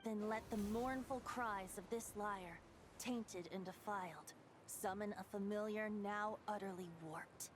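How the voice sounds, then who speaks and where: A woman's voice: medium pitch, a little slow, natural voice. A woman voicing a youthful boyish bard in a video game, poetic and resolute, with a light theatrical delivery. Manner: theatrical, lyrical. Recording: game audio with music underneath.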